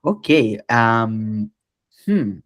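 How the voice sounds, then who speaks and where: A man's voice: medium pitch, sing-song, extremely slow, no echo. Male interviewer asking follow-ups. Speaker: language teacher and interviewer. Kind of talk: interview questions.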